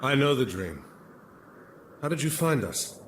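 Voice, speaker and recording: An actor playing an earnest, resolute ancient Greek admiral in a video game cutscene. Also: male voice, medium pitch, big pitch swings, measured pace, a little hiss or hum. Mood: weary, calm. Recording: echoey, distant, music underneath.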